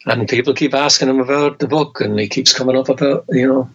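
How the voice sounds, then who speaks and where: A man's voice: mid-range voice, fast, fairly bright tone, muffled recording. A man hosting a podcast, giving a blunt, opinionated rant in casual chat. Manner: blunt, ranting. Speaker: podcast host.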